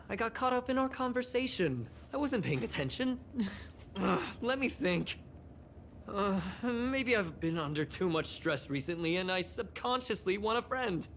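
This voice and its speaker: Animated, excitable young researcher. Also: female voice, medium pitch, a little slow, slight background noise. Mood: sheepish, coaxing. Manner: expressive, with sighs, gasps and exclamations. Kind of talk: game dialogue acting.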